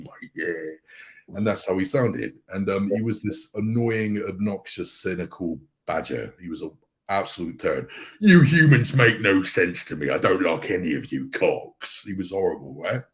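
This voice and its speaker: A man with an extremely deep voice, chatting as a guest on a casual show. He tells his story in a relaxed, rambling way and laughs easily. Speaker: guest voice actor and YouTuber. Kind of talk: casual chat.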